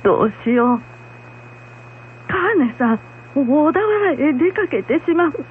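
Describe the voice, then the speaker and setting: A woman playing a frightened blind old village woman in a folktale radio drama, pleading in a halting, urgent way. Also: high-pitched, sing-song. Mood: anxious. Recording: muffled lo-fi with hum and noise.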